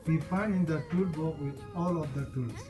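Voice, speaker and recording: A woman's voice: low voice, normal speed, music in the background. A woman reading a children's bedtime story aloud. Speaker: story reader.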